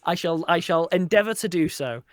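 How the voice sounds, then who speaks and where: A man's voice: fairly high pitch, animated, fairly quick, no echo, studio quality. A man chatting casually as an interview guest, earnest and wryly self-deprecating. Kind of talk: casual chat.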